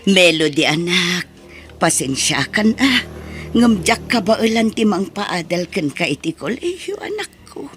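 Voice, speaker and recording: A woman's voice: fairly low pitch, big pitch swings, a little slow, a bit bright, soft background music. A woman playing a poor, humble middle-aged mother in an audio drama, pleading and tender. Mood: sorrowful, tender.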